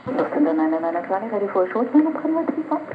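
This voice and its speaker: A brisk air traffic controller speaks in clipped, procedural phrases at a rapid pace. The voice comes through a narrow VHF radio channel with clear static over it. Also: mid-range voice, very animated, reverberant. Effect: VHF airband radio. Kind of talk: clipped radio phraseology, issuing approach instructions. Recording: noisy narrowband aircraft radio transmission.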